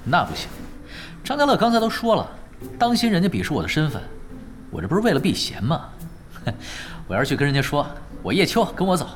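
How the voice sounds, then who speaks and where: An actor playing a laid-back, glib gaming veteran who teases and coaxes in an audio drama, with mock-serious turns. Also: male voice, a little high, huge pitch swings, brisk, pauses often, slight background noise. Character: laid-back teasing male gaming veteran coaching his boss. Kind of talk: Chinese audio drama acting.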